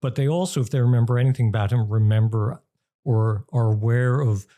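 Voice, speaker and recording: An older man with an American accent explains things as an interview guest, deliberately and emphatically, often pausing mid-phrase. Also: mid-range voice, fairly even intonation, warm tone. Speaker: interview guest, journalism professor and author.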